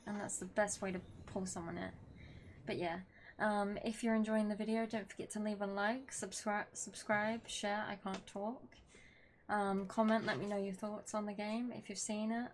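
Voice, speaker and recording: A woman's voice: medium pitch, level tone, normal speed, slight background noise. A young woman playing a horror game at home, chatting casually, swearing now and then and reading the story text aloud. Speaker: gaming video creator. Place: at home with her dog.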